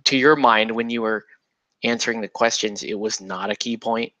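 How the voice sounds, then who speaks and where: A man's voice: on the low side, steady tone, moderate pace, bright, forceful tone, no room sound, poor audio. A man hosting a miniatures hobby show, chatting casually while he builds models, rambling and joking with lots of fillers. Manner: breaks into laughter and puts on silly voices.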